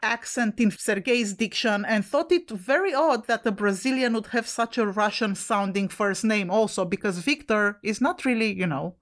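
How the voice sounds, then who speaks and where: A woman's voice: medium pitch, moderate pace, few pauses. A woman co-hosting a podcast with an Italian accent, chatting casually, laughing often and swearing now and then. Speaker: podcast co-host.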